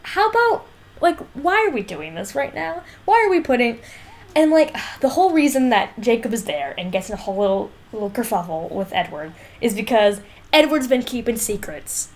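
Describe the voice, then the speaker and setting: Female co-host, higher register. Casual podcast banter, sardonic and exasperated. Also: big pitch swings, normal speed. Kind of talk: casual book discussion podcast chat.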